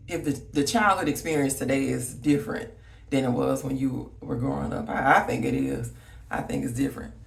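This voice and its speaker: A woman's voice: rumbling low, creaky, recorded from a distance. A woman from Alabama with a Southern accent, chatting casually and rambling as she talks straight to her viewers. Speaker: vlogger. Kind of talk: casual reminiscing chat to viewers. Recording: roomy echo, voice slightly off-mic.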